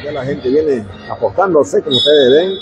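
Against the loud din of an indoor sports hall, a man with an eastern Bolivian Spanish accent calls a futsal match live. His voice sits fairly high for a man, and he speaks conversationally to his radio audience. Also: normal speed, crowd noise. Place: indoor coliseum sports court, from the stands. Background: loud ambient noise of a futsal match.

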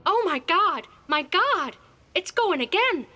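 A woman plays a frightened country villager in a horror audio drama, stammering and tripping over her words. Her voice sits high and swings wildly up and down. It has a bright, tense edge. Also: big pitch swings, slow pace, soft background music, exaggerated voice. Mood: panicked. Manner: breathless stammering panic. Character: terrified rural villager woman.

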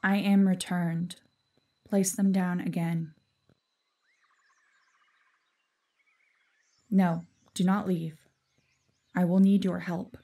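A solemn forest guardian played by a woman speaks in measured, formal phrases that slip into breathy whispers. The voice is dark and mellow, slow, and a little distant from the mic. It suits a fantasy audio drama. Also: fairly low pitch, little pitch movement.

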